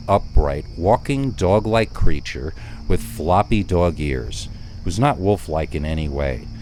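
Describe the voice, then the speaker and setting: A man narrating a first-person horror story in a deliberate, suspenseful way with dramatic pauses. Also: low-pitched, a little mellow, slight background noise. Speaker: narrator of scary stories.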